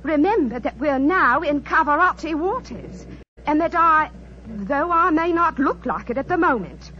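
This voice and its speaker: A woman's voice: high voice, expressive, moderate pace. An actress playing a proud, imperious young island queen in a radio drama. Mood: haughty. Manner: haughty, commanding. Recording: dull old radio broadcast with faint hiss.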